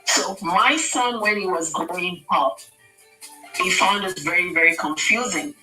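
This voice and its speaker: A woman's voice: lowish voice, unhurried, bright, forceful tone, echoey room, recorded from a distance, soft background music, rough recording. A Nigerian woman speaking as a radio guest, giving earnest, pleading advice in a preacherly way. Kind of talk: impassioned advice on air. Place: radio studio.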